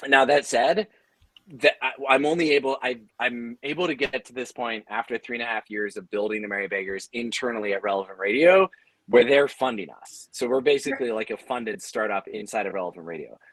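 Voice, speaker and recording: A man's voice: mid-range voice, brisk, a bit bright, dry recording, low-quality recording. A man speaking earnestly and conversationally on a panel, explaining how he leads his team. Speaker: audio drama company leader on a panel.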